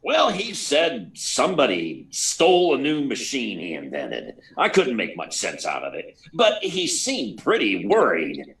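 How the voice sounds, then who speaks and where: An actor playing a harried, gruff newspaper editor in a 1940s-style radio serial, stammering and barking clipped orders, recorded at a distance. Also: male voice, medium pitch, wildly swooping pitch, unhurried, fairly bright tone, full character voice. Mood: gruff, hurried. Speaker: actor in a live radio play. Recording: distant, far from the mic.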